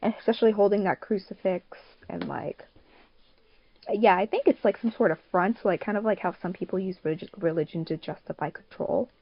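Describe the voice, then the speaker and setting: A woman's voice: mid-range voice, fairly quick. A woman chatting casually as a panelist on a group podcast. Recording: slightly dull lo-fi voice-chat audio.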